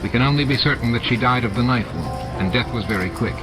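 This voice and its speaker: A man voicing an earnest, precise male space station doctor in a measured, clinical manner. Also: mid-range voice, over music, natural voice. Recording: noisy audiobook with added sound effects.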